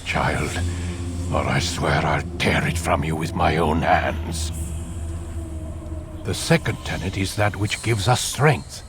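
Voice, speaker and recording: An actor playing a stern, commanding master of a secret brotherhood in a video game, delivering grave, scolding lines. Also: male voice, mid-range voice. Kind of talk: dramatic video game acting.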